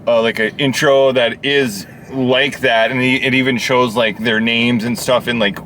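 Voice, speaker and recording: A man's voice: medium pitch, normal speed, rough recording. A man co-hosting a casual, unscripted movie review, rambling along and swearing now and then. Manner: rambling, profane. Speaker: co-host of an amateur movie review. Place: inside a car. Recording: steady background noise, vehicle ambience.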